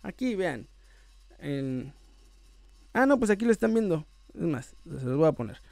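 A Mexican man with a high voice hosts an online sports show in a casual, chatty style. He is playful and joking, drawing out words for emphasis. The sound is dry and close. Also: very animated, measured pace, gravelly, darkish tone, no echo. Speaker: host of a sports news video channel. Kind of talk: casual chat to viewers, reading out messages and shout-outs.

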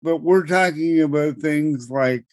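A middle-aged man on a podcast, explaining legal matters in a measured way and repeating words as he starts each thought. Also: on the high side, no room sound. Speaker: podcast co-host explaining law. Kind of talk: explanatory conversational chat.

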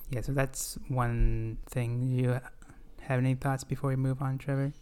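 Male podcast host, smooth and steady voice, earnest, thoughtful, halting at times. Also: mid-range voice. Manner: earnest, reflective, halting with fillers. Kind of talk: casual scripture discussion.

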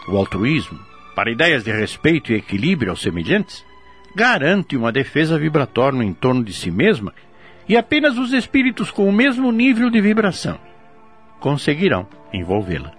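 A man's voice: medium pitch, a bit bright, breathy edge. A man with a Brazilian accent giving formal, didactic religious commentary from a script. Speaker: commentator on spiritist teaching.

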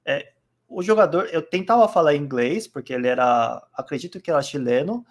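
A man chatting calmly on a podcast, explaining card game rules with many fillers. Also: on the high side, a little fast. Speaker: card game player guest. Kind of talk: casual podcast chat.

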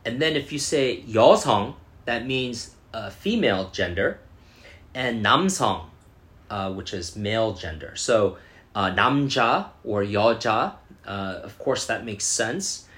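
A man casually commenting as a language learner, pausing often and sounding out foreign words slowly. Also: lowish voice, expressive, a bit bright. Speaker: language learner reacting to a show.

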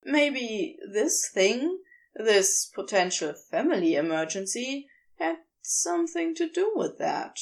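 A woman narrates a piece of fiction in a high voice. Her delivery is very animated in pitch and unhurried, measured like careful audiobook storytelling.

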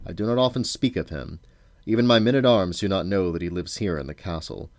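A man voicing a grim, joyless old king in a storybook, speaking in a flat, curt, imperious manner. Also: sits low, brisk, no room sound, clean studio sound. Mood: cold.

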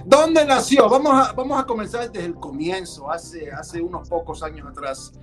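A man hosting a live online show, introducing his guest in a slow, emphatic way with pauses. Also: sits high, over music. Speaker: show host. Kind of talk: live show host talk.

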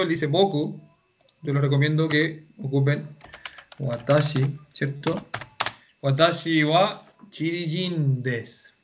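A man teaches a Japanese class online, patiently explaining and restarting his sentences. His voice sits a little high, and the audio is muffled and lo-fi, with keyboard typing in the background. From Chile. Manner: explains patiently, repeats words, many restarts.

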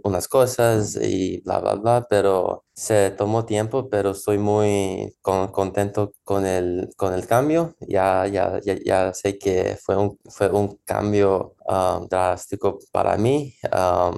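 Stammering man with a gravelly low voice. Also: mellow. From United States. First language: English. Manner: halting, stammers and repeats syllables often. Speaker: guest, professional tennis player. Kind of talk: casual interview answers.

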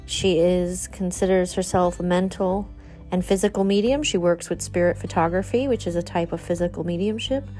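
A woman's voice: fairly low pitch, moderate pace, dark tone, soft background music, low-quality recording. A woman hosting an interview show, introducing and questioning in a conversational way. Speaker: show host.

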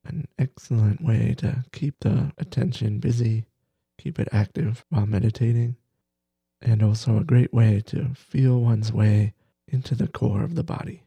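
A man leading a guided meditation as teacher, gentle and soothing, with soft breathy phrases that trail off between long pauses. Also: mid-range voice, steady tone, dry recording. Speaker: meditation teacher, podcast host.

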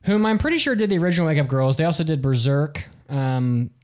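A man with a deeper voice co-hosting a casual podcast, rambling and restarting his sentences. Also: lively intonation, fast, a little mellow. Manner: rambling, self-interrupting, restarts. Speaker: podcast co-host.

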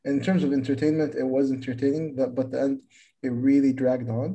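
A man's voice: medium pitch, fairly even intonation, fast talker, mellow. A man in his twenties co-hosting a podcast, chatting casually and rambling, often repeating words as he starts sentences. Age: 20s. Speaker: podcast co-host. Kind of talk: casual chat.

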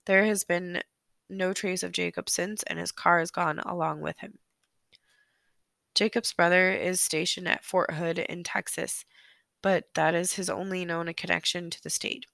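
A sick, hoarse woman hosting a solo true-crime podcast, chatty and apologetic, filling pauses with ums. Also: on the low side, fairly even intonation, fairly bright tone, dry recording. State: sick, hoarse, losing her voice. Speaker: podcast host. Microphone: close podcast microphone.

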